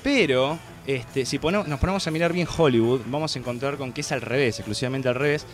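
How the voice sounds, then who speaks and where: Male radio film columnist, Buenos Aires accent, mid-pitched, colloquial and digressive, music underneath. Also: fast talker. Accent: Argentine, Buenos Aires. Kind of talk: casual opinion commentary. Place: radio studio.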